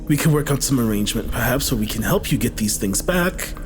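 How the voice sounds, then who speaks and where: A man playing a sly, threatening dark elf who bargains in measured, deliberate phrases during a tabletop roleplaying game. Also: medium pitch, lively intonation, close to an everyday voice. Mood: cool, bargaining. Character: sly, threatening male drow crossbowman, coolly negotiating. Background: music.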